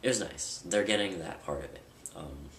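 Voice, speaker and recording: A man's voice: low voice, moderate pace. A young man talking candidly in a personal vlog, rambling a little with fillers and restarts. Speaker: vlogger. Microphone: camera mic at a distance. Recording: roomy, distant, with loud background noise.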